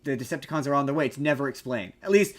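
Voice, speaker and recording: A man's voice: mid-range voice, expressive, racing, a crowd in the background. A man co-hosting a podcast, talking casually and opinionatedly about film in an emphatic, rambling, argumentative way. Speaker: podcast co-host.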